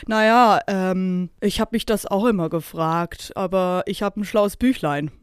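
A woman's voice: mid-range voice, steady tone, measured pace, clear, resonant tone, no echo, clean studio sound. A woman hosting a podcast with a casual, chatty and playful manner. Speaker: podcast host.

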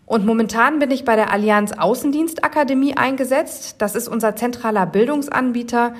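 A woman in her forties from Germany, hosting a podcast and telling her career story in a clear, measured way. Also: a little high. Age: 40s. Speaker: podcast host. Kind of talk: scripted podcast narration.

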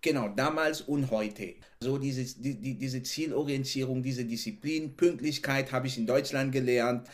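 A man's voice: medium pitch, moderate pace, few pauses. Man, laughing often. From Cameroon. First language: not German. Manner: animated, laughs often, repeats "genau" and "ne". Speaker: podcast guest. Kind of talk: casual interview chat.

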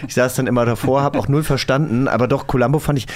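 A man's voice: mid-range voice, steady tone, fast. A man in his forties chatting casually as a podcast host, joking and telling anecdotes. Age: 40s. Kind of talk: casual chat.

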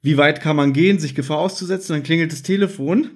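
A man in a casual chat, recapping an audio drama as a podcast host and retelling scenes in an animated way. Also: on the high side, quick pace, husky.

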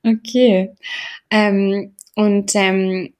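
A woman's voice: mid-range voice, flat delivery, extremely slow, darkish tone. A woman hosting an interview, asking questions in a friendly way with many filler sounds. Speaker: interviewer, podcast host. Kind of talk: conversational interview.

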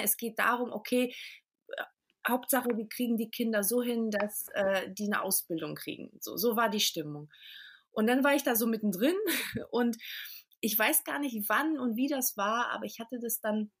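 A woman from Portugal, a podcast interview guest, telling her personal story in a reflective way with many pauses and restarts. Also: mid-range voice, husky.

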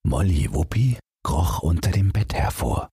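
A man with a husky, gravelly voice reading a bedtime fairy tale as an audiobook narrator in a measured storytelling way. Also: sits low, big pitch swings, warm tone, no echo, clean studio sound.

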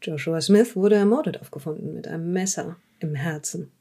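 A woman playing a police investigator in an audio drama, questioning a witness in a measured, polite and formal way. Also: lowish voice, mellow, breathy edge. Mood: probing, formal. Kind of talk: improvised in-character audio drama dialogue.

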